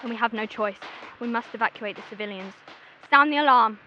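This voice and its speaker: A woman's voice: on the high side, fairly quick, dull audio, natural voice. A young woman playing a commanding, impatient figure in charge during an emergency in a radio drama. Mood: grave, commanding. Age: young adult. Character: commanding military general, bossy and impatient under pressure. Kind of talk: modern radio drama acting. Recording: background noise, outdoor street ambience.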